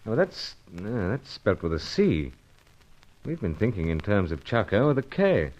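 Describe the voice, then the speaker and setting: Low-voiced, edgy secret agent. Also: male voice, very animated, measured pace, a little mellow, muffled recording. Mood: puzzled, keen. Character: brisk, edgy British male secret agent. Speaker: lead actor narrating and playing the secret agent. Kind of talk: old-time radio serial acting.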